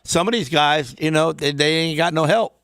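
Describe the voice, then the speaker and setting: A middle-aged man chatting casually and bluntly, with rough grammar and a folksy way of talking. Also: fairly high pitch, moderate pace, fairly bright tone, no echo, clean studio sound. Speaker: business owner as talk show guest. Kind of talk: casual unscripted banter.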